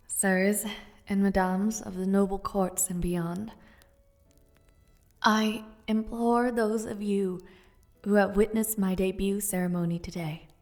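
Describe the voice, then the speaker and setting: A woman playing an earnest, resolute young noblewoman of a fantasy realm in an audio roleplay, speaking formally and with measured care. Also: mid-range voice, a little mellow, natural voice.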